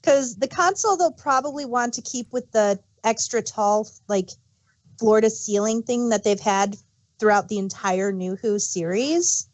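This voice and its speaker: A woman's voice: on the high side, a little slow, poor audio. A woman co-hosting a casual fan discussion, explaining things in a hesitant way and often correcting herself mid-thought. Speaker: podcast co-host.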